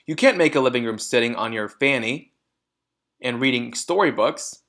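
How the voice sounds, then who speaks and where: A man acts out a boorish storybook dad, blustering and dismissive. He is loud and emphatic, with pitch that leaps around. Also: lively intonation, normal speed. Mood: scornful.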